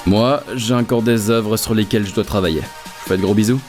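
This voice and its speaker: A man's voice: on the low side, fairly even intonation, fairly quick, a little mellow, faint music behind. A man giving a casual thank-you message to viewers as an amateur voice actor.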